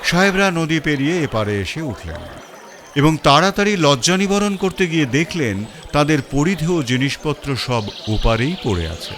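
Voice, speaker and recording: A man's voice: fairly high pitch, very animated, normal speed, fairly bright tone, slight background noise. A man narrating a first-person detective story in a storytelling style.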